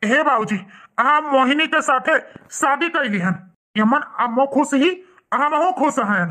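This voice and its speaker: A man's voice: very high-pitched, a little slow, sharp tone, exaggerated voice. A man voicing a callous, self-justifying young husband in a cartoon family drama, defiant, with an Awadhi accent. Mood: defiant, cold. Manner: defiant, blunt.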